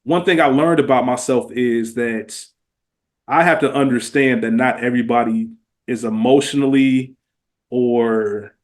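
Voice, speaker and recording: A man's voice: mid-range voice, steady tone, unhurried. A man with an American accent talking earnestly and casually in slangy, reflective podcast conversation. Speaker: podcast guest or co-host.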